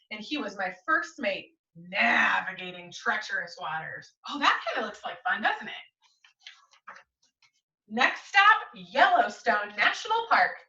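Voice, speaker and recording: A woman teacher reading a picture book to young children in an expressive, coaxing way, often turning to them with questions. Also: medium pitch. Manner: expressive, coaxing, asks frequent tag questions. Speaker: schoolteacher reading to young pupils. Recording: roomy echo, distant mic, muffled audio.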